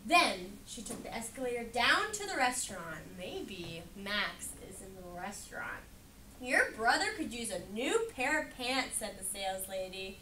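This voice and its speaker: A woman's voice: mid-range voice, very animated, unhurried, sharp tone. A woman reading a picture book aloud interactively to children, asking questions and affirming their answers as she goes. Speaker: read-aloud educator. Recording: distant mic in room with background noise.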